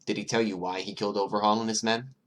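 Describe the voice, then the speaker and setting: A narrator playing a gentle, careful male detective in an audiobook, questioning softly and with measured words. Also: mid-range voice, fairly even intonation, pitched above the performer's own voice, lightly acted. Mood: gentle, probing. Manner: soft-spoken, measured questioning. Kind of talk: acted audiobook dialogue.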